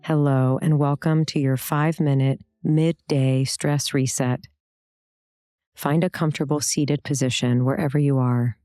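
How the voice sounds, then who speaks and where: Female meditation guide, very deep register, soft mellow tone, calm and gentle scripted delivery. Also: clean tone, dry recording, studio quality, soft background music. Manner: calm, gentle, unhurried instruction with pauses. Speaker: meditation and yoga teacher.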